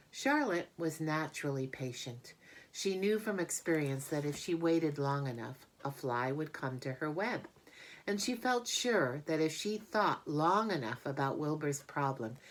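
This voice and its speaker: Woman reading a kids book out loud, lowish voice, storytime narration. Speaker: audiobook reader.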